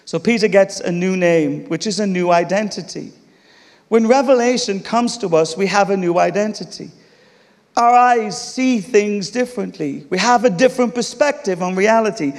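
A man's voice: high voice, moderate pace, vocal fry. A man with an English accent preaching a sermon, telling a funny story with laughs before turning to teaching. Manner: humorous, animated storytelling with laughter. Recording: long reverb of a large hall.

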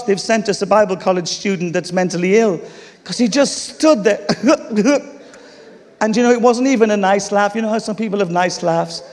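High-voiced English preacher, echoey hall. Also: male voice. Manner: humorous, animated storytelling with laughter. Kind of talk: sermon with storytelling. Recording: long reverb of a large hall.

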